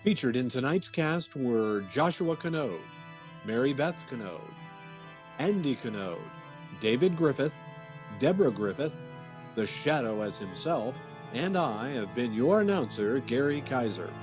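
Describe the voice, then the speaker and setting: A man's voice: mid-range voice, very animated, a little slow, over music. A man announcing in a theatrical manner, reading a scripted sign-off for a radio drama.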